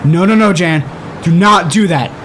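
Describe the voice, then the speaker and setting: A man's voice: sits high, moderate pace, fairly bright tone, a little hiss or hum. An actor playing an anxious, protective male friend in a horror audio drama, speaking in an urgent, coaxing, emotional way. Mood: pleading. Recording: music behind the voice.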